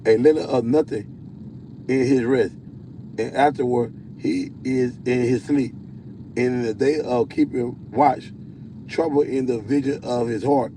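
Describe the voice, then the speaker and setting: A man with a deep voice preaching on a livestream. He reads scripture slowly, stumbling and repeating words. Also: fairly even intonation, dark tone, a little hiss or hum, poor audio. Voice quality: self-described deep voice. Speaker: self-styled prophet hosting a livestream.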